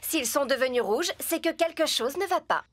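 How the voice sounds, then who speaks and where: A woman playing a brisk, practical builder in a children's cartoon dub, explaining things patiently and instructively. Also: high voice, lively intonation.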